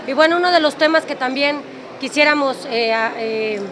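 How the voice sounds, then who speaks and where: A woman with a Mexican accent speaks high-pitched at a press conference. She delivers her statement in short, separated phrases. The room around her is full of people. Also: normal speed, a crowd in the background, noisy background. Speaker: municipal official speaking to press. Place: crowded indoor room. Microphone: press microphones on table.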